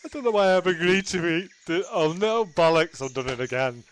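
A man's voice: high-pitched, lively intonation, a little fast. A man doing improvised comedy role-play, complaining in a whingeing, sweary, rambling way. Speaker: comedy podcast performer.

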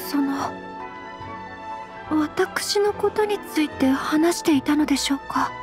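A high, soft young lady of noble birth for an anime game. She is earnest, shy and impeccably polite, speaking formally over faint background music. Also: slow. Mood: shy, anxious. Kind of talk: Japanese anime game voice acting.

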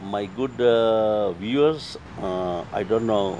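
A man, talking as a guest in a casual outdoor interview, halting with many fillers. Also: lowish voice, darkish tone. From Uganda. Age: 60s. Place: outdoor garden. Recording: outdoor noise, traffic behind.

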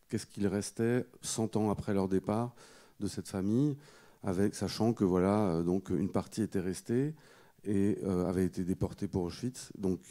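Low, very mellow man, halting speech. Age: middle-aged. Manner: halting, hesitant delivery with repeated words. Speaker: guest speaker, photographer. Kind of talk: unscripted storytelling at a panel discussion. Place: indoor auditorium stage. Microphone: handheld microphone held close.

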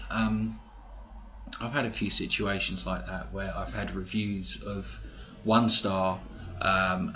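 A man's voice: fairly low pitch, a bit bright. A man hosting a podcast, chatting casually in a rambling, conversational way with wry asides. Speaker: podcast host. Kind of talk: casual podcast commentary, reading out listener comments. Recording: dull lo-fi audio with clear noise.

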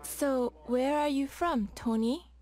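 A woman playing a friendly young female student in a scripted coursebook audio dialogue, speaking clearly and carefully. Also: sits high, slow, darkish tone. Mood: curious.

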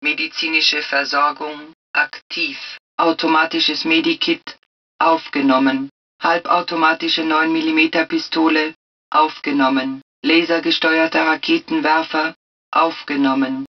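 A dull, slightly distant game recording carries the calm computer voice of a combat suit. She speaks very slowly in measured, clipped phrases. Every announcement is impersonal and matter-of-fact. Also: deep voice. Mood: impersonal, alert. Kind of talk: scripted system announcements in a video game.

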